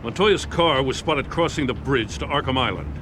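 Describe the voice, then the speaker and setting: A man plays a weary, gruff middle-aged police commissioner in a video game. He talks bluntly and sounds worn down at mid pitch, with noisy city ambience around him. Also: lively intonation, moderate pace, close to an everyday voice. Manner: tired and blunt, pleading.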